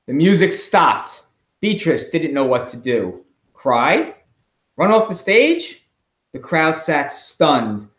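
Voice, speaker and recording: A middle-aged man, a school principal, reading a storybook aloud expressively and pausing with chatty asides and questions for children. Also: mid-range voice. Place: indoors at home. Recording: echoey room in a home.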